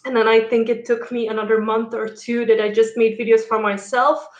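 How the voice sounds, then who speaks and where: A woman's voice: fairly high pitch, little pitch movement, a little fast, highs cut off. Chatty young woman, echoey room. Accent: Dutch. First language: Dutch and French. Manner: chatty, frequent fillers and laughs. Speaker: YouTuber. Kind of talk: casual live stream chat.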